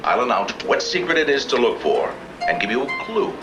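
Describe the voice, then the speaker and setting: An actor playing a wry, controlling host of a sinister party game in an old film. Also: male voice, medium pitch, sing-song, fairly quick, close to an everyday voice. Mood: brisk, sly. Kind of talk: film acting.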